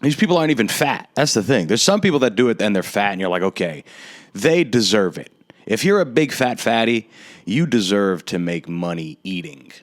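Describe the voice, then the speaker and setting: Deep-voiced guy in his twenties doing a solo podcast rant, sarcastic and drawn out, dry close mic. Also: no room sound. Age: 20s. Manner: sardonic, ranting, drawn-out emphatic delivery. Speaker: podcast host.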